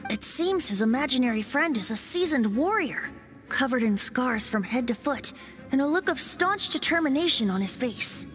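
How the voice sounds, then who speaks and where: A woman's voice: sits high, expressive, normal speed, fairly bright tone. A woman voicing a youthful, perceptive bard in a video game, speaking in a light, polite, knowing way. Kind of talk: English video game voice acting. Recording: background music under dialogue.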